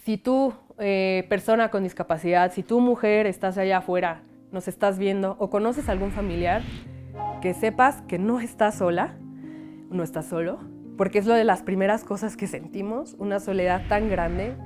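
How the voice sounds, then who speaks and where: A woman with a mid-range voice speaking earnestly and directly to the viewer, hesitating now and then. Soft guitar music plays underneath. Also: medium pitch, steady tone, normal speed. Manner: earnest, direct address, "eh" fillers. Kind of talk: heartfelt appeal in documentary.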